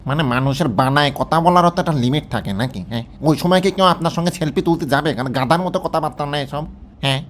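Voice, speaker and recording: A man's voice: on the high side, very fast, a little hiss or hum, natural voice. A man with a Bangladeshi accent playing a sarcastic, exasperated interviewer who scolds and mocks in a colloquial satirical phone-call sketch. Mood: incredulous. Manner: scolding, mocking, incredulous.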